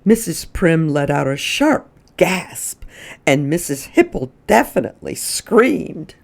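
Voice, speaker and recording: A woman reading a children's story aloud as a storytime host, with dramatic emphasis and drawn-out words. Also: low-pitched, big pitch swings, gravelly. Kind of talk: expressive read-aloud storytelling.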